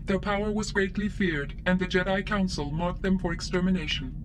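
An actor voicing an obedient, formal ship computer in an audio drama, reciting in a precise, impersonal way. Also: male voice, high voice, fairly even intonation, a little fast, noisy background, close to an everyday voice. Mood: impassive. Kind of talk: scripted narration in audio drama.

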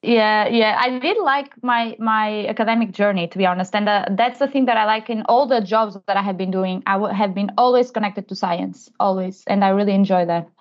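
A woman's voice: mid-range voice, brisk, few pauses. Portuguese-accented woman, slightly muffled recording. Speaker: podcast guest, tech transfer professional. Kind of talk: conversational interview answers.

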